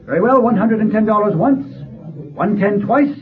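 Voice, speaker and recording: A man playing a brisk, formal auctioneer coaxing bidders with polished sales patter in a radio drama. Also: high-pitched, animated, soft background music. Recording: phone-like old radio recording, crowd murmur.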